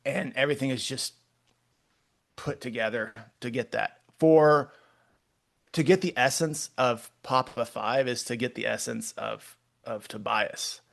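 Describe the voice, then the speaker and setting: A man's voice: medium pitch, steady tone, creaky, fairly bright tone. A man in his forties from Texas with a Texan accent, a guest artist chatting casually in an interview, rambling and restarting his sentences often. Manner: rambling, halting, many restarts.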